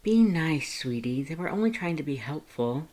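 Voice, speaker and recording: Narrator doing a flirty, teasing monster man, pitched up. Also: expressive, moderate pace, darkish tone, lightly acted. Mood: gently chiding. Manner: playful, coaxing, pet names. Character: flirty teasing male monster, affectionate and encouraging.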